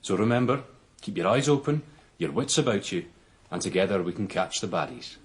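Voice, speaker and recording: An actor playing a slick crime-show presenter, delivering a practised television sign-off within a radio drama. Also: male voice, on the low side, expressive, a little fast. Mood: chirpy.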